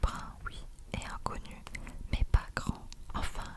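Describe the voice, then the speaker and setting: A woman's voice: a little slow, natural voice. A woman reads out a teenage schoolgirl who fancies herself a psychic. She speaks entirely in whispers. She is huffy and dramatic, with a hesitant mock-mystical air, like a character in a storybook read at bedtime. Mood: entranced.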